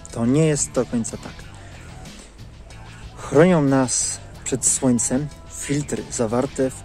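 A man's voice: medium pitch, a little mellow. A man in his thirties giving a casual product review to camera, recorded outdoors on a lapel mic. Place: outdoors in leafy woodland. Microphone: clip-on lapel mic.

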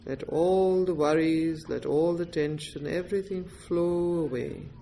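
A woman guides a meditation at a very slow pace, in a somewhat low voice for a woman. She gives gentle instructions in short, deliberate phrases, leaving space between them. There is a faint wash of background noise. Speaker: meditation teacher.

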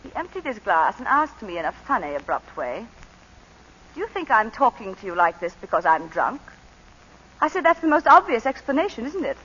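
An actress playing a composed planter's wife recounting a shooting, in 1940s radio acting. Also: female voice, a little high, very animated, moderate pace, fairly bright tone, breathy edge, full character voice. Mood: measured. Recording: muffled 1940s radio broadcast.

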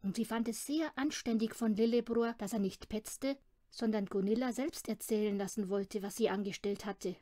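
A woman's voice: mid-range voice, moderate pace, dark tone, dry recording, clean studio sound. A woman narrating a children's audiobook from a script. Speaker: audiobook reader.

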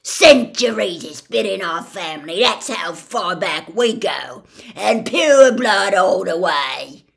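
A woman's voice: mid-range voice, animated, slow, creaky. A woman voicing a furious, bullying old male wizard in a storybook reading, bellowing and jeering with contempt. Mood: boastful, aggressive. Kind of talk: audiobook-style character reading.

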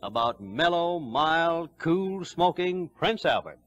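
A man's voice: on the high side, very animated, slow, fairly bright tone, no room sound, dull audio. A man hosting a 1940s barn dance radio show in a hearty, folksy way. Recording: vintage 1940s radio broadcast.

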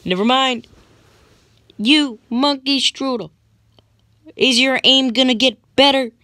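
A woman voicing a bossy, scornful male squad leader in improvised comedic game lines, scolding haltingly and repeating herself. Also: sits high, pushed and bright, no room sound, clean studio sound, exaggerated voice. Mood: haughty, exasperated. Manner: haughty, halting, repetitive scolding.

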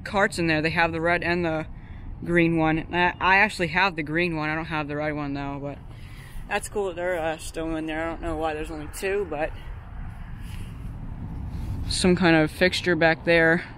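Pennsylvania man, hesitant casual vlogger, high voice, handheld outdoors with clear traffic noise. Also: steady tone, normal speed, sharp tone. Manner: hesitant, many ums and uhs, hedging. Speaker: vlogger exploring closed stores. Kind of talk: casual walking commentary. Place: outdoors in a parking lot. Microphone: handheld camera or phone. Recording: outdoor street noise behind.

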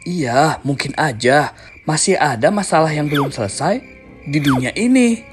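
A cautious young cartoon hero, played by a woman, with a low voice that swings animatedly as he looks out for others. Music plays behind the dialogue. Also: lively intonation, moderate pace. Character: cautious, protective boy out at night.

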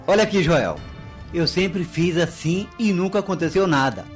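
High-voiced, stubborn Brazilian farmer. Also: male voice, lively intonation, normal speed, faint music behind. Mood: irritated, defiant. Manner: curt, obstinate. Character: stubborn, proud male farmer, gruff and dismissive. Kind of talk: radio soap opera acting.

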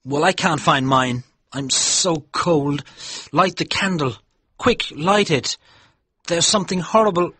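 A man voicing a frightened young man who begs not to be left in the dark. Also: mid-range voice, animated, moderate pace, breathy edge, dry recording, voice pushed up. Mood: panicked. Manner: pleading, panicky.

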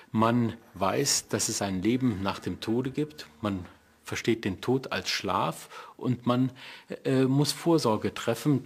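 A man explaining as an expert in an interview, with academic phrasing and pauses. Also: on the low side, breathy edge.

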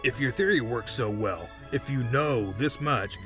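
A man's voice: mid-range voice, a little slow, over music, close to an everyday voice. A man playing a guarded, contemptuous murder suspect in an audio drama, speaking formally and haughtily. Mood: needling, haughty.

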